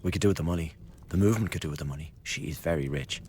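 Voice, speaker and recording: An actor playing a glib, scheming young revolutionary in a radio drama, chatty and insinuating with a blackly flippant manner. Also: male voice, low voice, very fast, darkish tone, a little hiss or hum, close to an everyday voice. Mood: coolly calculating. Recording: studio radio drama with background ambience.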